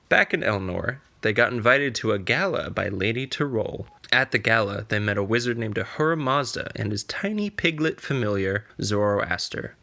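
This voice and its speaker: A man's voice: on the low side, vocal fry, pushed and bright. A man reading scripted recap narration as the narrator of a fantasy podcast.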